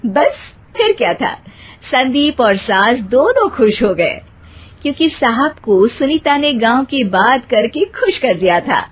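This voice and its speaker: A woman's voice: on the high side, sing-song, slow, slightly rough. A woman narrating a scripted children's cartoon story. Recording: muffled, narrow phone-like sound.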